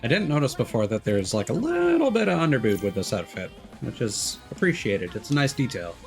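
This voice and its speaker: A man's voice: medium pitch, huge pitch swings, fairly quick, creaky. A man giving casual, laid-back let's play commentary, musing aloud as he streams. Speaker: game streamer. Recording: game music behind the voice. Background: faint game music.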